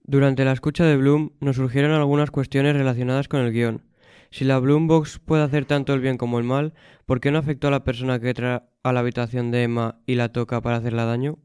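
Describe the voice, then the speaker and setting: A male interviewer reading a prepared question in a very smooth, clean voice. The intonation stays flat and the sound is dry and close. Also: mid-range voice, fairly quick, no echo.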